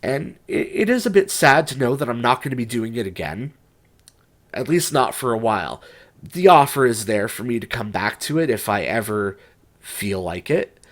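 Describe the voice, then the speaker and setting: A young man talks to camera in a loose, unscripted vlog, his voice stuffed up from a cold. He rambles and restarts often, stopping on fillers as he works through his thoughts. His pitch sits in an ordinary middle register. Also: big pitch swings, normal speed. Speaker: music writer and YouTuber.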